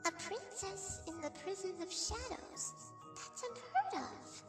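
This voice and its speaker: A sweet but wary shadow creature mother in a cartoon fan dub. The voice is extremely high and swoops about at an unhurried pace. It sits back from the mic under music. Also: expressive, far from the mic. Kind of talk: animated fan dub voice acting.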